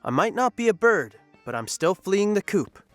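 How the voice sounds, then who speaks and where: An actor playing a cocky, goofy young joker in an audio drama, playful and dramatic. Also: male voice, high voice, big pitch swings, normal speed, soft background music.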